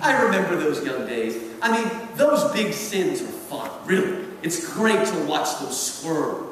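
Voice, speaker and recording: A man's voice: fairly high pitch, big pitch swings, a bit bright. A man playing a suave devil, very echoey hall. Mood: patronizing, amused. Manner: theatrical, patronizing, drawn-out phrasing. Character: suave condescending senior devil advising his young nephew. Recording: very echoey hall from far off, faint noise.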